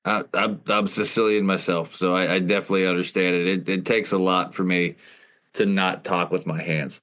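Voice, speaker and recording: Loud, very deep-voiced man in his thirties. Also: steady tone, moderate pace. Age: 30s. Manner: loud, self-deprecating. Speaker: podcast host. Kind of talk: casual chat.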